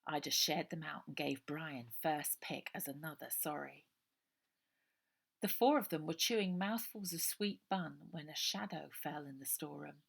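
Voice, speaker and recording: A woman's voice: sits low, normal speed, vocal fry, husky. A woman reading a children's novel aloud as scripted story narration.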